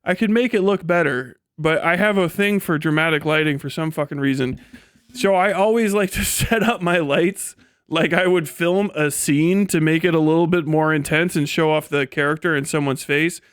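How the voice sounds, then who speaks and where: A man's voice: fairly high pitch, moderate pace, clean tone, no room sound. A man in his late thirties hosting a casual livestream, rambling and swearing freely with a self-deprecating manner. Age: late 30s. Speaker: livestream host.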